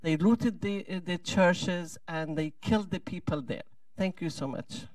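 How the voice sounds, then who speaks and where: A man's voice: high-pitched, unhurried, dry recording, recorded from a distance. A man from Syria asking an urgent, pleading question from the audience in non-native English.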